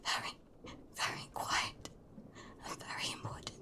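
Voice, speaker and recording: Woman as a hushed, wary young girl narrator. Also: measured pace, lightly acted. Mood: hushed, protective. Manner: hushed, breathy, often near whispering. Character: wary, protective young girl narrating her life at sea. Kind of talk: first-person scripted audio drama narration.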